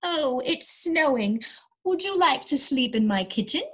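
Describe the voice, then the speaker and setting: Woman putting on a plaintive, high-pitched fussy housewife. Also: animated, a little slow. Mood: concerned. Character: fussy housewife neighbour, indignant and reluctant.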